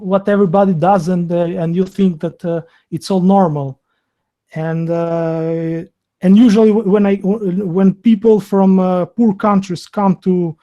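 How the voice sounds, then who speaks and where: Halting Albanian-accented man, slow. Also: high-pitched, warm tone. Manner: halting and hesitant, many fillers and restarts. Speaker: host. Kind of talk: live online video interview.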